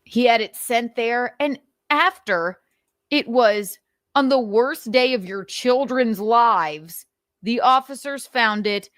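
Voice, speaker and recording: A woman's voice: fairly high pitch, slow, fairly bright tone, no room sound, clean studio sound. A woman hosting a livestream, reading notes aloud and adding blunt, sweary, theatrical and sarcastic commentary. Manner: blunt, sweary, indignant asides. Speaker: livestream host.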